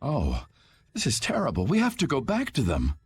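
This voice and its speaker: A man voicing a polite, anxious, flustered father in a video game, speaking haltingly. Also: medium pitch, wildly swooping pitch, husky, exaggerated voice. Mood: worried. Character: grateful, flustered father stranded in desert. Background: background music.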